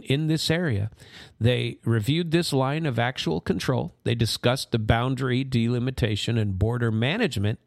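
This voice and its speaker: A man's voice: mid-range voice, vocal fry, no echo, clean studio sound. An American man giving informal explanatory commentary, rambling into side stories and checking in with "right?" and "you know". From United States.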